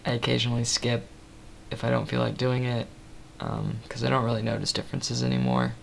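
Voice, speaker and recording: A young man talks into his webcam in a small room late at night, sounding worn out. His voice has a heavy gravelly fry and a little rasp, and he rambles along in a soft, low-key way with lots of ums. A faint hiss sits under the recording. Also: steady tone, brisk. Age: 20s. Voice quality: slightly raspy from tiredness. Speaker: vlogger. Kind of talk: casual webcam update. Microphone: built-in webcam mic at close range.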